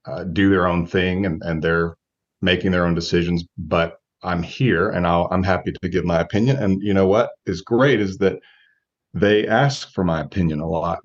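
A man in his forties giving measured, reflective answers as a guest coach in an interview, thinking aloud as he goes. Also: deep voice, steady tone, lo-fi sound. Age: 40s.

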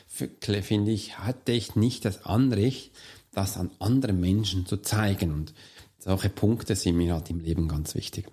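A hoarse man, a coach and podcast host, talking earnestly and straight to the listener in a solo motivational monologue. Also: deep voice, darkish tone.

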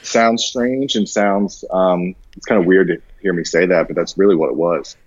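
A man's voice: deep voice, steady tone, moderate pace, no room sound, lo-fi sound. A man in his thirties chatting informally as an interview guest, slangy and self-deprecating. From grew up mostly overseas in Japan. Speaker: podcast guest, branding designer. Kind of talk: casual interview conversation, personal anecdotes.

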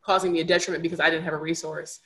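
A woman's voice: low voice, fairly even intonation, rapid-fire, bright, forceful tone. A young adult woman speaking candidly as a podcast guest, earnest and reflective, repeating words for emphasis. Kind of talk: candid conversational storytelling.

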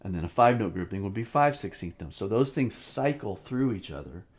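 A man's voice: lowish voice, expressive, fairly quick. A man giving an informal drum lesson, explaining step by step and sometimes sounding out rhythms in syllables. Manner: demonstrates rhythms by vocalizing syllables. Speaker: drum teacher. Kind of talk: instructional lesson talk.

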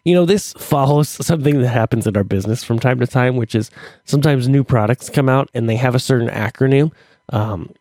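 A man hosting his own podcast in a chatty, rambling way, full of fillers, his voice stuffy and hoarse from allergies. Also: mid-range voice, fairly quick, warm tone, no echo. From Middle Tennessee. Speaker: podcast host. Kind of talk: solo podcast talk.

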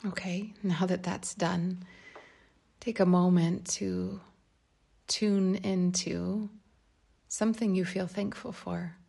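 A woman guides a meditation in a low, mellow voice with a soft creak. She speaks gently and calmly, leaving space between phrases, her breathy tone often thinning toward a whisper. The melody stays very even throughout. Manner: calm, unhurried, gentle phrasing with long pauses.

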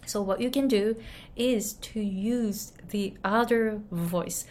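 A woman from Japan teaching voice in a video lesson, talking gently with many restarts and fillers. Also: medium pitch. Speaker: voice coach.

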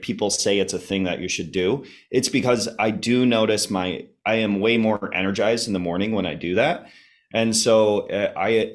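A man chatting casually as a podcast guest, informal and explanatory, his voice slightly hoarse and a little congested. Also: lowish voice. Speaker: podcast guest, health enthusiast. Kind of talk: casual conversational interview.

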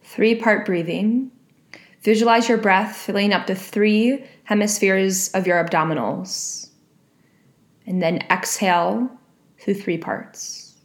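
Slow, calm woman guiding meditation. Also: medium pitch. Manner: calm, unhurried instructions with long pauses. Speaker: meditation guide. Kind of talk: guided meditation.

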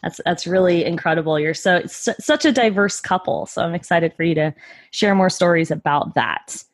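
A woman's voice: lowish voice, moderate pace, dry recording. A woman hosting a podcast interview, upbeat and encouraging with her guests. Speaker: podcast host.

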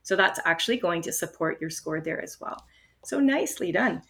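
A woman from Canada explaining speaking-test strategies in an encouraging, steady instructional manner. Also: lowish voice, a little fast, vocal fry. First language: English. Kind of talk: instructional podcast talk.

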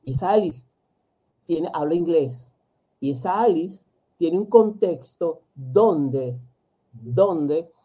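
A man's voice: on the high side, lively intonation, slow, long pauses, mellow, breathy edge, low-quality recording. A man, a psychologist, talking reflectively in an interview, often restarting and repeating words. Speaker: psychologist guest. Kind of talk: interview conversation.

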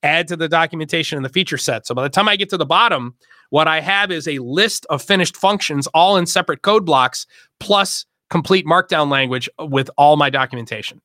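A man hosting a casual discussion, explaining in quick, emphatic bursts with many fillers. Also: fairly high pitch, bright, forceful tone, dry recording, clean studio sound. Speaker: host and workshop leader.

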